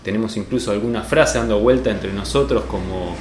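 A man's voice: fairly low pitch, fairly quick, slight background noise. A man with an Argentine accent presenting a podcast and chatting as its host. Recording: roomy echo, faint music behind.

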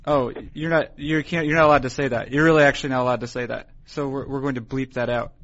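A man hosting a podcast, talking in a wry, halting way full of fillers, sounding sleepy early in the morning in a hotel room. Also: medium pitch, fairly even intonation, fairly bright tone, lo-fi sound. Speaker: podcast host. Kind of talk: rambling podcast intro and chat.

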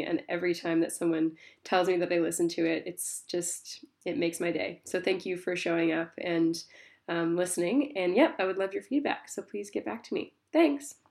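A woman's voice: fairly low pitch, a little mellow. A woman who hosts a podcast, sounding congested and talking to her listeners in a chatty, apologetic way full of ums. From Tasmania, Australia. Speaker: podcast host. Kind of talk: casual spoken update to listeners. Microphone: phone.

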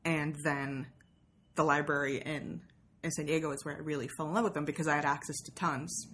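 A woman's voice: sits low, fairly even intonation, a little fast, fairly bright tone. A woman from Sweden who spent part of her childhood in the United States, chatting casually in an interview in a rambling, explanatory way. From Sweden, with childhood years in the United States. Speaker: podcast co-host being interviewed.

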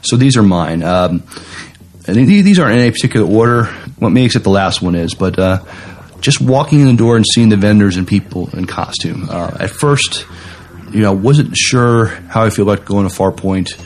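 A man co-hosting a podcast in casual chat, halting but enthusiastic as he talks. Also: lowish voice, animated, darkish tone, slight background noise. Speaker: podcast co-host.

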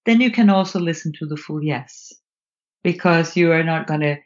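A woman's voice: low-pitched, moderate pace, lo-fi sound. A woman, a native Swedish speaker with a Swedish accent, talking reflectively as an interview guest. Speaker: guest, author and trainer in nonviolent communication.

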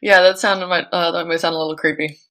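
A woman's voice: fairly low pitch, fairly even intonation, fast, sharp tone. A woman in her thirties with a North American accent, chatting casually as an interview guest. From born in Lithuania, grew up in Canada and the United States. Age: 30s. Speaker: podcast guest, digital advertising professional.

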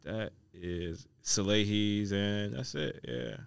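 A man's voice: fairly low pitch, lively intonation, slow pace, creaky, smooth, dry recording. A man chatting casually as a podcast guest, answering in short, agreeable phrases. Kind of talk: casual chat.

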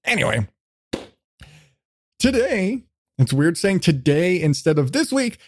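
A man's voice: high-pitched, big pitch swings, a little slow, a little hiss or hum. A man hosting a podcast in a casual, rambling, sweary chat style. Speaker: podcast host.

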